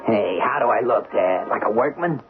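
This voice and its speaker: An actor playing a crook's eager, bumbling young son in an old-time radio drama, naive and hesitant. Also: male voice, on the low side, lively intonation, moderate pace, music in the background, natural voice. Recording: thin narrow-band old radio broadcast, noisy.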